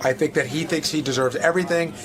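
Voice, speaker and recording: A man's voice: fairly high pitch, fast. A male radio staffer answering interview questions candidly, blunt and emphatic, repeating himself. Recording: busy crowd chatter behind. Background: crowd noise.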